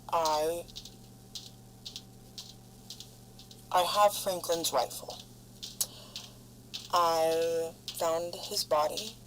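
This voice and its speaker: A woman acting a weary, foul-mouthed, defiant lone spaceship survivor logging a halting, sardonic monologue in an audio drama. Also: sits low. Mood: grim, halting. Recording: clear background noise, humming ship ambience. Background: noisy hum of spaceship sound design.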